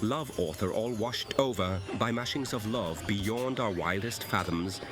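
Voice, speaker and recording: A man's voice: on the low side, a little slow, over music. A man delivering a theatrical, satirical radio-play monologue in a wordy, mock-grand manner.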